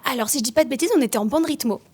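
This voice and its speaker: A woman voice actress chatting in a relaxed interview, excitable and animated, quick to laugh. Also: sits high, slight background noise. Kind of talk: casual interview chat. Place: at a voice-acting festival.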